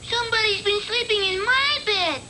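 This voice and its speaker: A woman voicing a cheeky, complaining little boy bear cub with a squeaky voice in a vintage cartoon. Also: normal speed. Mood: indignant. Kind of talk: vintage animated cartoon acting. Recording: noisy old cartoon soundtrack.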